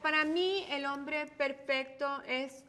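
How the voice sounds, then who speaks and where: A woman co-hosting a casual chat show, trading playful, quick remarks with her partner. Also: high voice, steady tone, sharp tone. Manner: playful, quick back-and-forth banter. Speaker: co-host.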